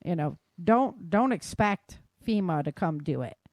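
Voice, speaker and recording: A woman's voice: lowish voice, lively intonation, a little slow, a little mellow, no echo, studio quality. A woman hosting a casual talk podcast, explaining advice in an emphatic, colloquial way and often checking in with "right?". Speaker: podcast host.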